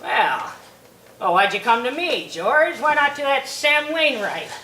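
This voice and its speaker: A woman voicing a cold, gloating rich old man who mocks slowly and drags out his words. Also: sits high, big pitch swings, sharp tone, echoey room, slight background noise, full character voice, poor audio. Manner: slow, mocking, drawn-out delivery.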